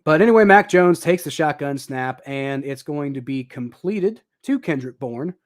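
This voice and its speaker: A young man doing casual live football commentary, thinking out loud with lots of ums and uhs, his voice a little dry and raspy. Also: fairly high pitch, expressive, moderate pace. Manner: rambling, thinking aloud with many ums and uhs. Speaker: livestream host commentating football.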